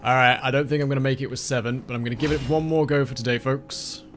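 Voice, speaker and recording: A man's voice: medium pitch, steady tone, fast, fairly bright tone. Man, tired, losing his voice, muttering, wry, game streamer, noisy recording. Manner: muttering, self-deprecating, talking to himself and chat. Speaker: let's play streamer. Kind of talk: casual gameplay commentary.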